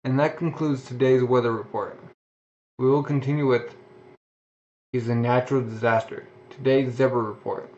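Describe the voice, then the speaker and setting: A man voicing a male television news reporter, reading out a report in a halting way. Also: mid-range voice, steady tone, darkish tone, a little hiss or hum, natural voice. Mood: announcing. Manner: halting, announcing. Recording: dull lo-fi recording.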